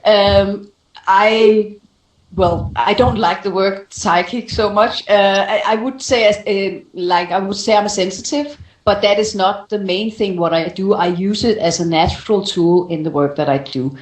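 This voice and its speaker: A woman's voice: medium pitch, unhurried. A woman speaking as a voice coach on a call-in show, explaining conversationally and often rephrasing as she goes. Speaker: voice coach answering callers' questions. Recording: roomy, echoing sound.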